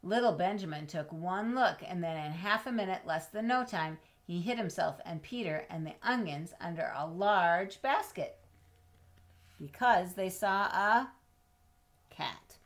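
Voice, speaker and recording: A woman reading a children's storybook aloud expressively, with dramatic pauses, drawn-out words and questions to her young listeners. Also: on the low side, animated. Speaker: storybook reader.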